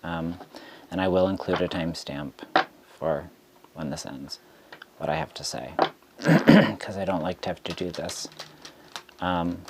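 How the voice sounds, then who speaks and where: A man who reads tarot, speaking straight to camera in a frustrated rant, deliberate and halting with frequent ums. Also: low voice, animated, gravelly, very noisy. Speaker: tarot reader.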